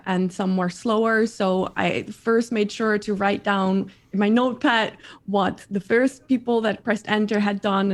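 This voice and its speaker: A woman in her thirties from the Netherlands with a Dutch accent, chatting as an interview guest, lively and repeating her words. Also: medium pitch. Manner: animated, repeats words, laughs often. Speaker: guest streamer. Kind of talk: casual interview chat.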